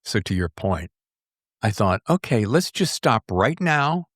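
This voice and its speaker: A man's voice: fairly low pitch, sing-song, a little slow, fairly bright tone, no echo, clean studio sound. A man hosting a casual podcast interview, rambling chattily and acting out his own thoughts as he talks. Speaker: podcast host.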